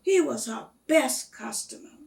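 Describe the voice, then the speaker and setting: Woman, storybook reader, creaky edge, slow pace, expressive, measured delivery. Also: sits high. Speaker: guest reader reading a story aloud. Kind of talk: expressive children's storybook read-aloud.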